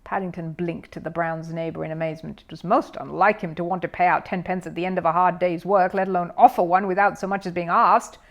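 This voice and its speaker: A woman narrator reading a children's story aloud in an expressive style. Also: fairly low pitch, fast talker, few pauses, muffled recording. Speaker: audiobook narrator.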